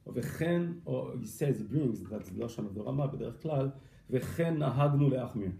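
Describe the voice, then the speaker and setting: Rabbi guy teaching a class, stops and repeats a lot, gravelly low-toned voice, echoey room. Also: lively intonation, dark tone. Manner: halting, repeats words, mixes Hebrew and Yiddish terms. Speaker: rabbi teaching family purity law. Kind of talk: informal lesson. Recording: echoey room, faint background noise.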